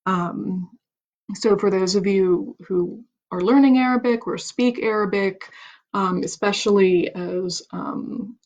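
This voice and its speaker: A woman hosting a live stream, reading a book aloud in a steady voice. She stumbles on some words, restarts them and stops for chatty asides. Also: mid-range voice, slow, dull audio. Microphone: computer mic on a home live stream.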